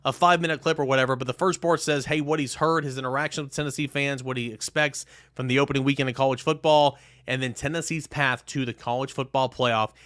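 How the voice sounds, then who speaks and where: A man's voice: medium pitch, fairly even intonation, fast talker, few pauses, fairly bright tone, no echo, studio quality. A man hosting a sports podcast, moving between ad reads and talk to the listener.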